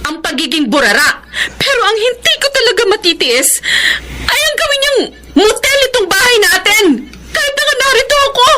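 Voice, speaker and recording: An earnest young wife and mother in a Filipino radio drama. Her voice is very high and bright, full of feeling. There is faint noise behind it. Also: sing-song, normal speed, exaggerated voice. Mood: aggrieved, pleading. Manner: emotional, earnest.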